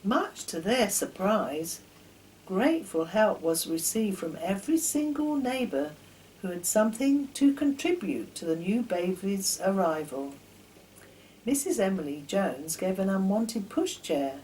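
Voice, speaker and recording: An older woman reading a children's story aloud in an expressive, deliberate storytelling manner. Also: mid-range voice, a little hiss or hum, rough recording. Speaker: author reading her own children's stories. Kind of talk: storybook narration.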